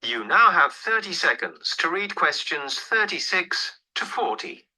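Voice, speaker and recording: An announcer reading scripted test instructions in a formal, deliberate manner. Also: mid-range voice, big pitch swings, sharp tone, low-quality recording. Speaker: exam announcer.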